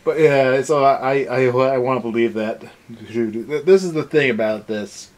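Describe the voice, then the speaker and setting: A man's voice: mid-range voice, a little slow. A young man co-hosting a casual review show, ranting in a sarcastic, exasperated way. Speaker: anime review show co-host.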